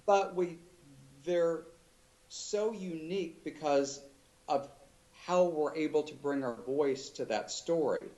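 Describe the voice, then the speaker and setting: A man being interviewed as an author, talking in a thoughtful, halting way with many restarts. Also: on the high side, dark tone, lo-fi sound. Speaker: author guest. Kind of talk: casual interview chat.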